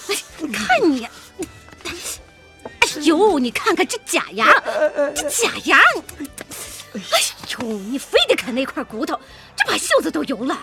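Casting: scheming businesswoman, high animated voice, coaxing and snobbish, theatrical radio play. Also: unhurried, pauses often, music in the background, exaggerated voice. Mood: fussing. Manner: wheedling, theatrical, exclamatory. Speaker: radio drama actress. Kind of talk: Chinese radio drama acting.

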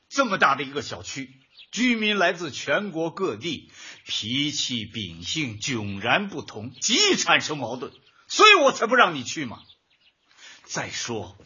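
A man's voice: high voice, sharp tone, slightly rough, full character voice. A male actor playing a fussy, pedantic, nagging husband in a comic radio drama, scolding in a bookish way. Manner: scolding, bookish, uses proverbs. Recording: dull lo-fi audio.

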